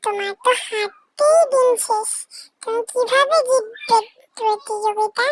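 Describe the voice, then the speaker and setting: A man voicing a small, childlike cartoon animal with a squeaky voice, speaking in a slow, drawn-out manner. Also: no room sound. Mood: mocking. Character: mocking cartoon hare and plucky little tortoise, squeaky and childlike.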